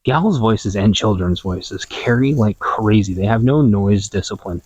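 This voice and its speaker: A man in a rambling casual monologue outdoors in a yard, keeping his voice low and quiet, not projecting, with many restarts. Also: measured pace, breathy edge, no room sound, lo-fi sound. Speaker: prepping vlogger. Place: outdoors in a yard, watering trees.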